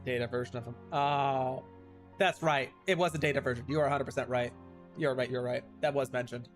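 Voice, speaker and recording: A man's voice: medium pitch, steady tone, brisk, faint music behind. A man livestreaming casual game commentary, musing and reacting aloud in loose fragments.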